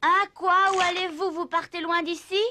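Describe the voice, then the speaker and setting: A woman's voice: extremely high pitch, fairly even intonation, normal speed, sharp tone, dry recording. A woman voicing a whiny, restless little wooden puppet boy in a French cartoon dub. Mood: pleading, grumbling. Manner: whining, exclamatory, complaining. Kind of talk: animated cartoon dub acting.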